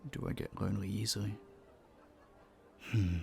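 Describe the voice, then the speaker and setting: Low, creaky gentlemanly young prince acted by a man. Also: steady tone, moderate pace. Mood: flustered, defensive. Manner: playful, polite, slightly flustered, hesitant stammers. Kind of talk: romantic audio roleplay, speaking to a listener. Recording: faint noise, background ambience.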